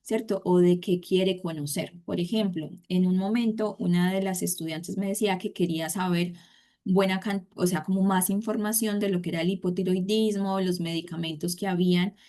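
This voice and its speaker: Woman, low-pitched, class instructor, casual filler-heavy talk, video call. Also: fairly even intonation, moderate pace, dark tone. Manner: conversational, many fillers and tag questions. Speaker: course instructor. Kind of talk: online class introduction.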